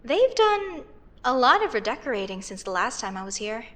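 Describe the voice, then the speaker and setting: A woman's voice: a little high, moderate pace. A woman playing a friendly, teasing young female werecat in a comedic audio roleplay, talking to herself expressively with hesitant stammers. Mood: musing, mildly indignant. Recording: steady rain ambience noise behind voice. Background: rain ambience.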